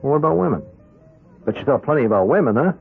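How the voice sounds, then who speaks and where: An animated, wheedling young American hustler. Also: male voice, mid-range voice, fast talker, creaky, husky, narrow, tinny audio. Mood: chummy, nudging. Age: young adult. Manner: slangy, hesitant, nudging, tags phrases with "huh". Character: eager, wheedling young small-time hustler in his twenties. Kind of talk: old-time radio drama acting. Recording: band-limited radio drama with music.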